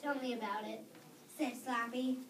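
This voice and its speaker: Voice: medium pitch, steady tone, normal speed. A child reading a scripted story and its dialogue aloud as part of a readers theater. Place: echoey room. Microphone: distant microphone. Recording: echoey room, distant microphone, background noise.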